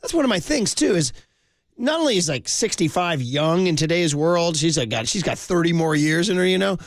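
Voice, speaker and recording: A man's voice: on the high side, no echo, studio quality. Upbeat man hosting sports radio. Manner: energetic, quick, jokey. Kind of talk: call-in sports talk. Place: radio studio. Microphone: studio microphone.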